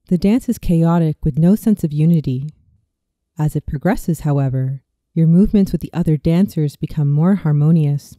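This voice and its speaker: A speaker in their thirties reading a scripted guided visualization in a soothing, slow and deliberate way, as a meditation narrator. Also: medium pitch, dark tone, no room sound, clean studio sound. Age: 30s.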